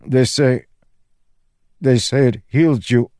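Audiobook role: hesitant, humble soldier as a male narrator plays him, soft delivery, dry sound. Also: medium pitch, slow, no room sound, pitched above the performer's own voice, natural voice. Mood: subdued, hesitant. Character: subdued, hesitant male soldier seeking healing. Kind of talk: audiobook character acting.

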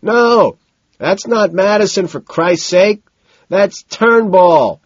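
Man acting an impatient yuppie, shouting high. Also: expressive, slow, no echo, lo-fi sound, exaggerated voice. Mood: decisive shouting. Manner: shouting over a crush, insistent. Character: impatient, desperate male yuppie partygoer.

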